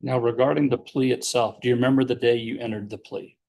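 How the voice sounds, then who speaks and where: A man working as a defense attorney, questioning his client in court in a measured, explanatory way with leading questions. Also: medium pitch, steady tone, a little mellow.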